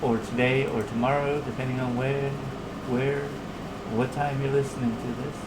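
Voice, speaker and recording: A man hosting a livestream with casual, halting, unscripted chat. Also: medium pitch, level tone, heavy background noise. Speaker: livestream host.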